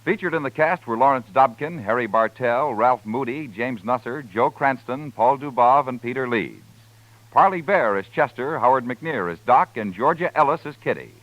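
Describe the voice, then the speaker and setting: A man's voice: medium pitch, lively intonation, normal speed, no echo, muffled recording. A man reading the closing credits of a radio drama in formal announcer style. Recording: dry old radio broadcast, faint music. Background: faint music.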